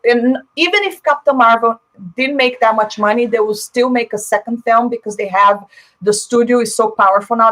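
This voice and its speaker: Chatty Brazilian woman streamer, higher voice. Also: rough recording. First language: Portuguese. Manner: chatty, opinionated, frequent fillers. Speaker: live stream host. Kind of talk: casual live chat reading viewer comments.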